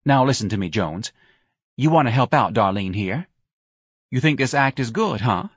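A man's voice: mid-range voice, expressive, moderate pace, slightly rough, no echo, clean studio sound. A man voicing a hard, scheming woman who runs a bar, curt and browbeating, in an audiobook. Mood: cold, scheming.

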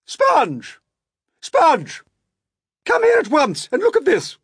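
Guy doing a shrieky bossy storybook auntie, super high and a bit husky, easily worked up. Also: wildly swooping pitch, unhurried, a bit bright, no echo, clean studio sound, heavily acted. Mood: shrill excitement. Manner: shrieking, commanding exclamations. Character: shrill, bossy, excitable middle-aged aunt, a woman. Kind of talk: dramatic children's storybook character reading.

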